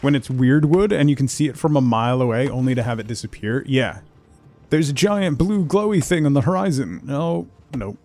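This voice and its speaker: A man's voice: mid-range voice, expressive, a little fast, a little mellow. A man chatting casually as he streams a game, thinking aloud in short fragments. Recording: music behind the voice. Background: music.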